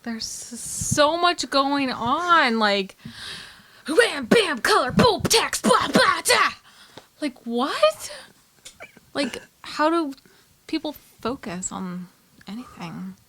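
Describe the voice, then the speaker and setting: A woman's voice: sits high, sing-song, extremely slow, sharp tone. A woman co-hosting a video, chatting casually in a playful, blunt way. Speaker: video co-host, first-time comic reader. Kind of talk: casual chat.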